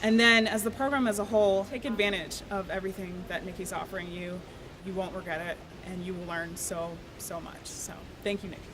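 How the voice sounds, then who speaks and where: A woman's voice: fairly low pitch, steady tone, a little fast. Earnest woman speaking at a noisy reception. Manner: earnest, reflective, with fillers. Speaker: law student speaker. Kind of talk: speech at a reception. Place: reception event. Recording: noisy event recording.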